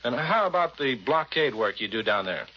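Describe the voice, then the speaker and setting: A man's voice: medium pitch, very animated, normal speed, highs cut off, slight background noise. An American man working as a radio news reporter and interviewer, in scripted 1940s broadcast style.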